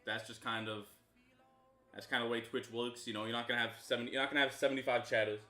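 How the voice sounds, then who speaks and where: Excitable young Canadian streamer, bright voice. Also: male voice, mid-range voice, fast, echoey room, faint music behind. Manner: loose and chatty, bursts of loud excitement. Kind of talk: casual stream chat with viewers.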